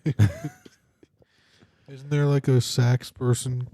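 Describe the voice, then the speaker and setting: Mellow-voiced man trading dry quips. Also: mid-range voice, level tone, slow, no room sound. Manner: dry one-line quips. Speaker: podcast co-host. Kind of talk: casual comedic banter. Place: podcast studio.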